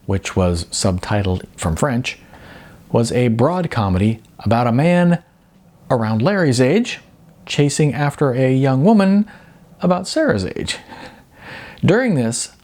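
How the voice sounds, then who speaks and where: Male audiobook narrator, husky and animated in pitch, for literary fiction narration. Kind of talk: scripted narration of a novel.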